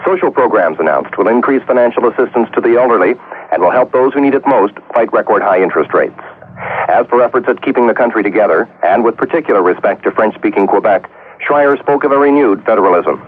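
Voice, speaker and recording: A man's voice: on the low side, animated, quick pace, a little hiss or hum. A man delivering a scripted news report as a radio correspondent. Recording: tinny phone-line report.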